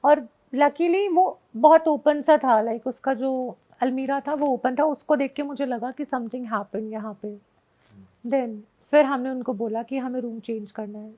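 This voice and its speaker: A high-voiced woman tells her story in a casual, chatty way as a guest. She drops English phrases in often. The sound is slightly dull and lo-fi. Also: a little slow. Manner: mixes Hindi with frequent English phrases. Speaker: guest telling a personal ghost story.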